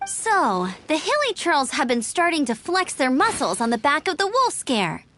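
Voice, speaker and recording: A woman's voice: high voice, wildly swooping pitch, normal speed, fairly bright tone, slight background noise, heavily acted. A woman playing a spirited, eager young adventurer in an anime video game. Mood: eager, concerned.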